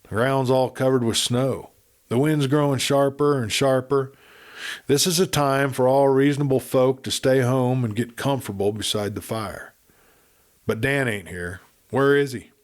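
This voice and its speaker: A male reader acts out an old rancher father from a western storybook. He is gentle and worried, speaking softly in folksy, questioning phrases. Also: medium pitch, steady tone, normal speed, lightly acted. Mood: gentle, troubled. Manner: soft-spoken, questioning, folksy. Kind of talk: western storybook character speech.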